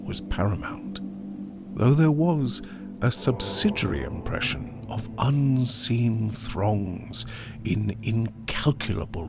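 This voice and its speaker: Man acting as haunted male survivor, gothic audiobook, gravelly fry, very slow delivery, grave, theatrical, music underneath. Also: lowish voice. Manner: grave, deliberate, theatrical, dropping into hushed near-whispers. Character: haunted male survivor of an opium overdose, recounting horror. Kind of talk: dramatic gothic horror audiobook reading. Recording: studio narration over music.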